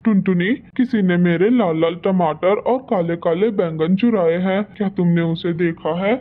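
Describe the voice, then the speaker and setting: A woman's voice: lowish voice, moderate pace, lightly acted. Woman voicing a stern cartoon owl farmer, phone-like. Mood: questioning. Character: stern male owl farmer guarding his field. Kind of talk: Hindi children's cartoon dialogue. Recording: thin phone-like sound.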